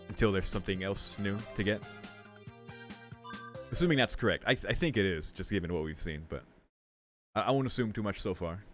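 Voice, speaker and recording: Young male game streamer, lowish voice, informal and musing, reads text aloud, light music behind. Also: a bit bright. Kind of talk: casual playthrough commentary mixed with reading game text aloud.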